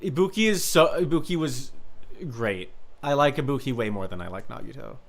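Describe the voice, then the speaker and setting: Mid-pitched man chatting on a game stream. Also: lively intonation, brisk, creaky. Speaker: gaming stream participant. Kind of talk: casual chat while playing a game.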